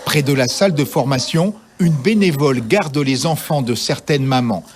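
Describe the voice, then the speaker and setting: A man's voice: medium pitch, moderate pace. A man narrating a scripted television news report as the reporter.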